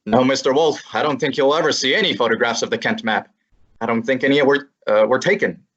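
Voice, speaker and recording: Breezy smart-mouth sidekick guy , woman playing him, husky mid voice, muffled lo-fi radio play. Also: a bass voice, a little fast. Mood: wisecracking. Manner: breezy, sarcastic. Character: wisecracking young male assistant detective. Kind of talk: student radio drama acting. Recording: dull lo-fi recording.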